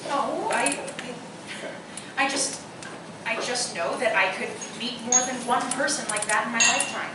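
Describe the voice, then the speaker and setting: A woman's voice: on the high side, normal speed, natural voice. A woman acting in a live radio drama, playing a blunt, skeptical woman who still hopes for love. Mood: earnest. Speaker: actor. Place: roomy live performance space, far from mic. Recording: very echoey, distant live recording, clear noise.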